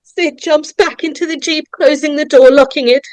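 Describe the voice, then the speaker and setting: A woman narrator reading horror screenplay stage directions in a dramatic, suspenseful way, with deliberate pauses. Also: high voice, steady tone, dry recording. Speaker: narrator of a script table read.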